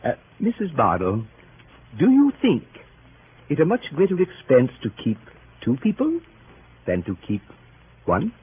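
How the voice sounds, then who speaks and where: An actor playing an earnest, fussy older gentleman with a proper, precise manner, in 1930s radio style. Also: fairly high pitch, wildly swooping pitch, a little slow, long pauses. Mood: hesitant, bashful. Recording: scratchy phone-like 1930s radio recording.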